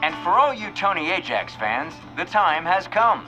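A man's voice: high-pitched, big pitch swings, moderate pace, muffled recording, over music. A man playing a showy, old-time radio announcer with a theatrical salesman's delivery. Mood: showy, suspenseful. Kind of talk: 1950s-style radio announcing.

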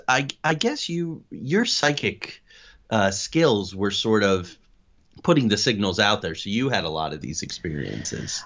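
A man's voice: lowish voice, fairly bright tone. A middle-aged American man speaking as an interview guest, telling stories conversationally. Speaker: interview guest, paranormal investigator and writer. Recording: dull, band-limited lo-fi audio.

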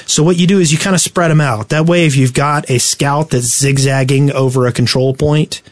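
A man co-hosting a gaming podcast, explaining things casually in a slightly halting way. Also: mid-range voice, steady tone, no echo. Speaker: podcast co-host.

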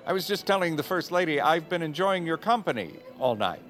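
A man's voice: a little high, big pitch swings, brisk, a bit bright. A smug government secretary in an audio drama. His coy, condescending voice glides dramatically up and down over faint music. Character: smug, smooth-talking male cabinet secretary feigning concern. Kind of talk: audio fiction comedy drama acting.

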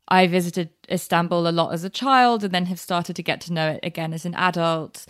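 British woman hosting a podcast interview, lowish voice, conversational. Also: lively intonation, moderate pace, a bit bright. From Britain.

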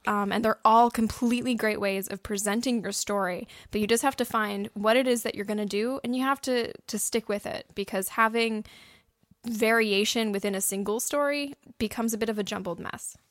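A woman's voice: a little high, fairly even intonation, normal speed, dry recording, studio quality. A woman co-hosting a podcast as a writer, explaining things in casual, informal chat. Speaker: podcast co-host and audio drama writer.

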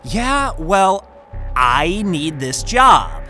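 An actor playing a cocky, talkative young job candidate who stammers and rambles in a naturalistic audio drama. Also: male voice, sits high, very animated, very slow, pushed and bright, soft background music, full character voice. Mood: nervous, awkward. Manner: stammering, rambling, self-interrupting.

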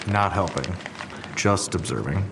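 An actor playing a guarded homicide detective in an audio drama, terse and noncommittal. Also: male voice, low voice, lively intonation, a little slow, vocal fry, background noise, heavily acted. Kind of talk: full-cast audio drama acting.

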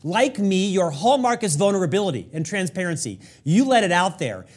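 A man's voice: high-pitched, very animated, brisk. A man hosting an interview, asking long, effusive questions. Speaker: interview host.

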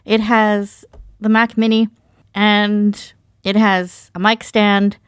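A woman's voice: mid-range voice, flat delivery, slow pace, clean tone, no echo. A woman chatting casually as a podcast panelist, joking and self-deprecating, recorded close in a small treated spot. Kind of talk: casual chat. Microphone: mic inside foam-lined box.

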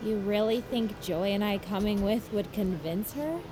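A woman's voice: medium pitch, moderate pace, noisy background, natural voice. An actress playing an ambitious, competitive young woman with a blunt, snippy way of talking, in audio fiction. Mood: concerned. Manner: blunt, sarcastic, challenging. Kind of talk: naturalistic audio fiction acting.

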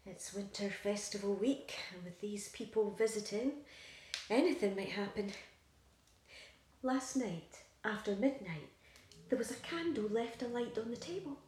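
A woman's voice: mid-range voice, expressive, measured pace, a little mellow, close to an everyday voice. A woman playing an anxious, controlling young mother and wife in an audio play, insistent and fussing. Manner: insistent, nagging, doting on her baby. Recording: very echoey room, distant, faint hiss.

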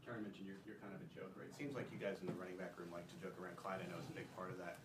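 A man's voice: deep voice, level tone, very noisy. A male reporter asking stumbling, repetitive questions at a press conference. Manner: rapid, stumbling questions with repeated words. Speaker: sports reporter. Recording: press room with crowd chatter.

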